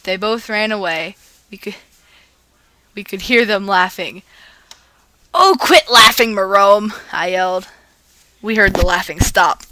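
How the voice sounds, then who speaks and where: A woman reading romantic fan fiction aloud in a casual, expressive amateur style, stumbling over words and restarting as she goes. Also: medium pitch, unhurried, long pauses, dry recording. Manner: gushing asides.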